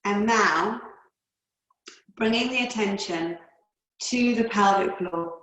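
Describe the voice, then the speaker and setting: A woman yoga teacher leading guided relaxation and breathing in a calm, soothing, unhurried way with long pauses. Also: mid-range voice. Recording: roomy, echoey indoor sound.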